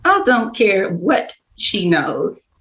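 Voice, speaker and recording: A woman's voice: mid-range voice, sing-song, slow. Woman acting a flirty, needy drama queen, swooping voice. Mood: pleading. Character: flirtatious, needy, melodramatic kept woman, a mother. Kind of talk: table read of a screenplay. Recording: dull lo-fi sound.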